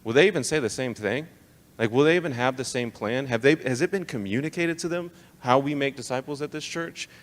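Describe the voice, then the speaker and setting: A man's voice: medium pitch, a little fast. A young adult American man addresses church leaders in a live talk, his mid-range voice ringing with long reverb in a hall. He is informal and slangy, leaning hard on key words and putting rhetorical questions to the room in a conversational way. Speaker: speaker leading a church leadership training session.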